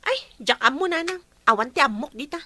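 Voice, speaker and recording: A woman's voice: high-pitched, animated, brisk, bright, forceful tone. A woman playing an eager, guileless young daughter who tattles, in a radio drama, with an Ilocano Filipino accent. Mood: innocent.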